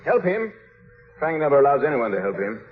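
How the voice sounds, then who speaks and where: A man acts a suave, commanding gentleman in radio melodrama. His medium-pitched voice is wry and very animated. It comes through a narrow old broadcast with music. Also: brisk, lightly acted. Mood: assured, teasing. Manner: urbane, wry, assured. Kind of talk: 1950s radio drama acting. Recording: band-limited old radio with loud music.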